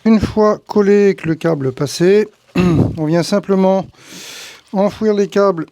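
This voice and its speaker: A man's voice: high voice, a little slow, mellow, slight background noise. A man giving an informal hands-on tutorial, explaining each step conversationally and thinking aloud as he handles the gear. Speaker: tutorial host, tree-work professional.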